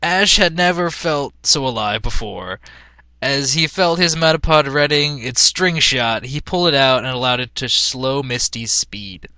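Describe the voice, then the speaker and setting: A man's voice: mid-range voice, a little slow, bright, forceful tone, no echo. A man reading a story aloud in a mock-dramatic, amused way, as an amateur reader who is prone to cracking up. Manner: breaks into laughter, amused. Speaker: amateur reader of fan fiction.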